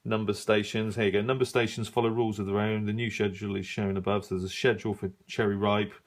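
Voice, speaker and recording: A middle-aged man giving a casual, unscripted review, musing and halting as he thinks aloud while browsing. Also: lowish voice, little pitch movement. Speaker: radio hobbyist reviewing an old magazine.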